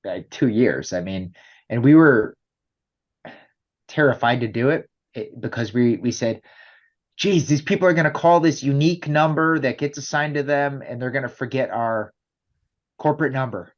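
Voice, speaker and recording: Slightly muffled, lo-fi audio carries a man's voice at an average pitch. He explains things conversationally as a company executive being interviewed, often checking in with short rhetorical questions. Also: normal speed.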